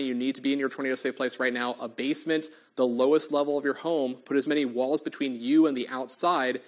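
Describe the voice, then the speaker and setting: A man, a TV meteorologist losing his voice, giving urgent live storm warnings full of fillers in a studio on a lapel mic. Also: medium pitch. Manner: urgent, repetitive, filler-heavy live delivery. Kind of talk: live severe weather coverage. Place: TV studio in front of a weather wall.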